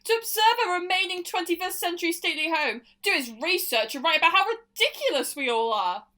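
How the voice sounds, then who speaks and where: An excitable young sister , played by a woman, with a very high, bright voice that swoops up and down. She is dramatic and full of accusation in this amateur radio play. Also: brisk, heavily acted. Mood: bitter.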